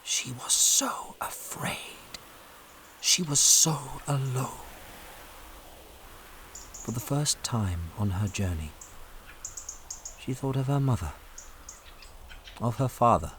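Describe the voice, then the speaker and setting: A man narrating a story dramatically, building suspense with short weighted phrases and pauses. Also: medium pitch, expressive, a little hiss or hum. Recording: loud storm ambience behind narration.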